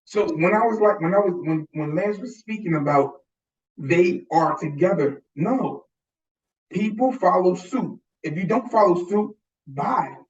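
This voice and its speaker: A man's voice: high-pitched, expressive, unhurried, recorded from a distance. Man, podcast co-host, insistent, repeats himself, roomy, dull off-mic sound. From Haitian, Dominican and Italian descent. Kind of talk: casual debate.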